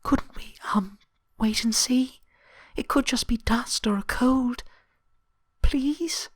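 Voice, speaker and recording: A timid young pegasus mare from a storybook, acted out by a man, speaks in a high, breathy hush. She is gentle and hesitant, pleading softly in a very dry, close recording. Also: slow pace, dry recording, exaggerated voice. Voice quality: breathy, whispery. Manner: hesitant, soft-spoken.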